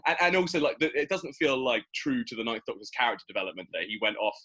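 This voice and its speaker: A man's voice: medium pitch, big pitch swings, quick pace, bright, forceful tone. A man chatting casually and animatedly as a podcast host, like an enthusiastic fan. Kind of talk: casual podcast chat about a TV series.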